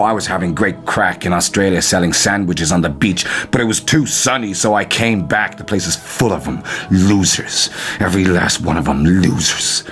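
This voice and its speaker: A man's voice: sits low, fairly bright tone. An actor playing a bitter, scornful, brooding man from Cork, with an Irish accent, in a radio drama. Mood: bitter, agitated. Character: bitter, scornful Cork-born man who escaped home. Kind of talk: naturalistic radio drama dialogue.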